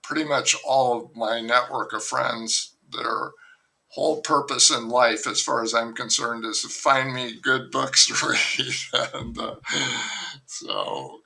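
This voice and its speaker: A man speaking slowly in a gravelly, very bright voice. He talks as an author in a casual interview, hesitant and rambling, often restarting his thoughts. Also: medium pitch, steady tone, poor audio. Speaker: novelist guest.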